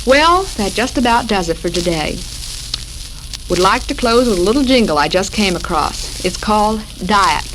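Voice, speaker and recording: An American woman hosting a 1940s radio program for housewives, reading a script in a measured way. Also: mid-range voice, expressive. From United States. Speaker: radio show host. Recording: noisy, crackling 1940s radio recording.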